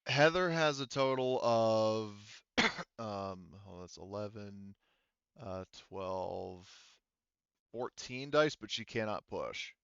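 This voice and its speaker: A man working as game master, casually narrating a sci-fi tabletop roleplaying game in a halting, think-aloud way. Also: lowish voice, lively intonation, gravelly, fairly bright tone, clear, resonant tone, no room sound, lo-fi sound.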